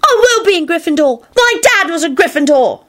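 A woman's voice: sits high, animated, moderate pace, fairly bright tone, voice pushed up. A woman voicing a stubborn young boy, whiny, petulant and defiant. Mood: wailing defiance. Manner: plaintive, petulant.

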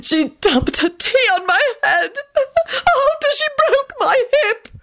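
A woman voicing a melodramatic, self-pitying old lady of rank in a drawn-out, wailing manner for a story. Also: extremely high pitch, very animated, fairly bright tone, voice pushed up, exaggerated voice. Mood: pitiful sobbing. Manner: shrieking, whimpering and drawn-out wailing.

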